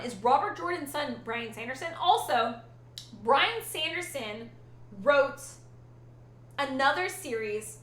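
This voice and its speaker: A woman's voice: sits high, pushed and bright, echoey room, slight background noise. A woman streamer chatting casually with her viewers, rambling and swearing freely. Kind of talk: casual chat with live chat.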